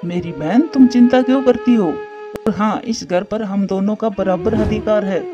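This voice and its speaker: A woman's voice: mid-range voice, normal speed, lightly acted. A woman voicing a welcoming, reassuring adult brother bird in a cartoon. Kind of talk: Hindi moral-story cartoon dialogue. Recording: dull lo-fi audio under music.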